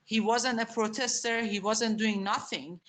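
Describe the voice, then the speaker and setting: A woman speaking unscripted as an interview guest, hesitant and halting, often repeating herself. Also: on the high side, fairly even intonation.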